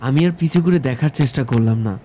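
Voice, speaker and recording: A man narrating a scripted first-person horror story in a measured storytelling manner. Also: mid-range voice, dark tone, slight background noise. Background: background music.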